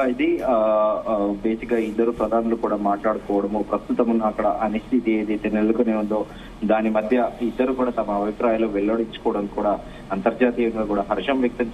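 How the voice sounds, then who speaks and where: A man giving a live news report as a correspondent, halting often with filler sounds. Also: mid-range voice. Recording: dull, muffled live link with faint noise.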